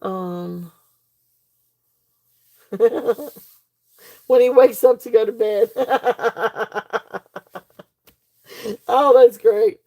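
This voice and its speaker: Woman, live sale host, mellow gravelly voice going hoarse, chatty with plenty of fillers. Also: a little high, extremely slow, long pauses. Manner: chatty, fillers, reads comments aloud. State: losing her voice. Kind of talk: live auction selling chat.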